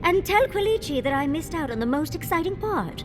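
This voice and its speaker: An actress playing a playful, wry companion in a fantasy video game, with an arch, teasing, droll delivery. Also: female voice, very high-pitched, lively intonation, brisk, music in the background. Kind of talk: video game dialogue acting.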